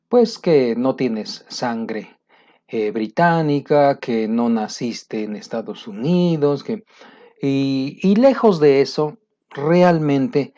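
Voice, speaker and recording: A man from Latin America talks through a motivational language lesson at an easy conversational pace, with frequent pauses and fillers. His voice is mellow and relaxed, in a middle register. The recording sounds dull and muffled. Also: medium pitch. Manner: conversational, pausing often with fillers. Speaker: English teacher.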